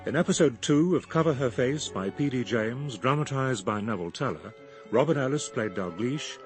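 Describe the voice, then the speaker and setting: A man's voice: medium pitch, lively intonation, normal speed. A man reading scripted credits formally as a radio announcer. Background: music.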